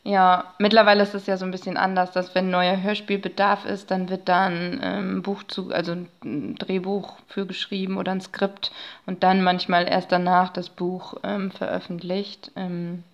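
A woman's voice: lowish voice, flat delivery, normal speed, muffled recording. A woman speaking as a podcast guest, explaining things in casual interview chat.

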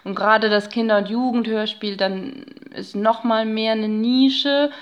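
A woman's voice: on the high side, steady tone, unhurried, clean tone, dull audio. German woman explaining her research casually. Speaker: guest researcher in narratology.

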